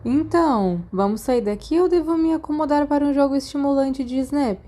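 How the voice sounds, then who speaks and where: A woman plays a brash, playful schoolboy in a storybook, always teasing. The voice is pushed higher, still mellow, with a Brazilian Portuguese accent. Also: moderate pace, lightly acted. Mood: casual.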